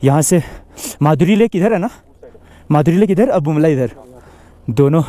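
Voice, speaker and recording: A young man from India narrating a casual, excited motorbike travel vlog outdoors, recorded on an action camera with engine and wind noise. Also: fairly high pitch, moderate pace. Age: young adult. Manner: chatty, excited, mixes in English words. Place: outdoors on a mountain road, riding a motorbike. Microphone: action camera on the bike.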